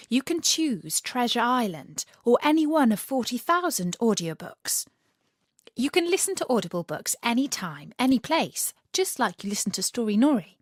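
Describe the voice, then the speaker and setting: A woman narrating a scripted children's story as storyteller and host, with an animated, theatrical and deliberate delivery. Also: mid-range voice, no room sound, clean studio sound.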